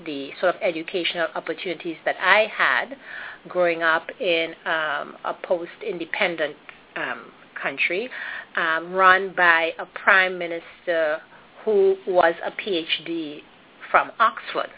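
A woman's voice: lowish voice, unhurried, slight background noise. A woman from Trinidad and Tobago with a Trinidadian accent, a guest answering interview questions thoughtfully, with many ums and self-corrections. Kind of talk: interview conversation. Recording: phone-like narrow audio.

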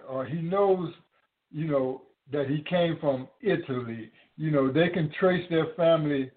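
Preacher guy, lots of you know and stutters, tinny phone sound. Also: on the high side. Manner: halting, many repeated words and fillers. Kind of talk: preaching to listeners.

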